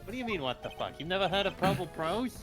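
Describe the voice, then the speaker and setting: Wry, slightly high-voiced man. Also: sing-song, fast talker, over music. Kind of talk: casual live stream banter.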